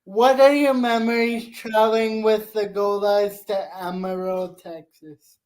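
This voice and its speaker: A young man hosts a sports interview and puts simple questions to his guest. He talks very slowly, taking his time over each phrase. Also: high voice, steady tone, darkish tone. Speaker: show host, interviewer.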